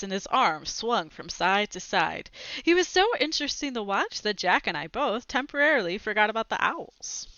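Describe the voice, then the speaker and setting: A young woman reading a storybook aloud into a headset mic, expressive, with brief explanatory asides. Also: mid-range voice, dry recording. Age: young adult. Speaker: read-aloud presenter. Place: small room at home, indoors. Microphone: headset boom mic. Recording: slightly dull, lo-fi headset sound.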